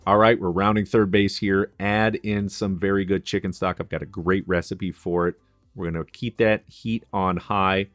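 Deep-voiced chef giving cooking steps. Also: male voice, fairly even intonation, moderate pace, a bit bright, no echo, soft background music. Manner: instructive, friendly, practical kitchen tips. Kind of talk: cooking tutorial voice-over.

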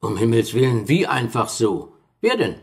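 A man's voice: medium pitch, very animated, moderate pace, vocal fry. An actor playing a brusque, agitated male asylum doctor who scoffs and blusters in a German radio play. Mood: alarmed. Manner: blustery, hesitant hums and short scornful chuckles. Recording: echoey hall with long reverb.

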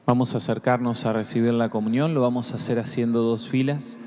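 A young man with an Argentine accent, a priest reading prayers and giving communion instructions in measured tones. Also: mid-range voice, fairly even intonation, a little mellow, slight background noise. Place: large church. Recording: long church reverb.

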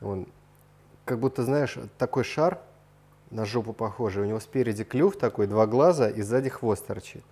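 Low-voiced mellow man, casual host. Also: normal speed, breathy edge. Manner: informal, slangy, enthusiastic. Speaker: host of an aquarium video series. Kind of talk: casual vlog tour chat. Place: indoors, room with large aquariums. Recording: noticeable background noise.

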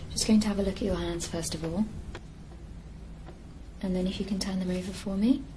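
A woman's voice: medium pitch, fairly even intonation, a little fast. A young woman giving step-by-step instructions as a clinician examining a patient. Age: young adult. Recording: noisy office room recording.

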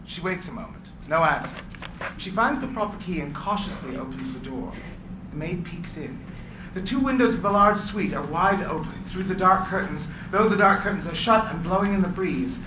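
Gravelly man reading stage directions. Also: high voice, normal speed. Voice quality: gravelly from recording work. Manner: clear, expressive reading. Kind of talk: script reading narration. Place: meeting room with audience. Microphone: stand microphone. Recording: echoey room, background noise.

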